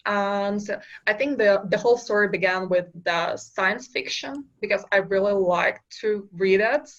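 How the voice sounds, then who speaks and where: A woman's voice: medium pitch, measured pace, lo-fi sound. A young woman from Kazakhstan, a startup founder answering interview questions in a hesitant way with many fillers and restarts. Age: young adult. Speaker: startup founder, podcast guest.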